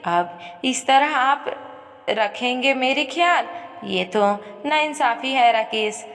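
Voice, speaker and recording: Emotional young wife, slow and echoing, played by a woman. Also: high voice, lively intonation, a bit bright, soft background music, full character voice. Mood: grief-stricken. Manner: halting, emotional, melodramatic. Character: anxious, tender young pregnant wife and mother. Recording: long reverb on voice.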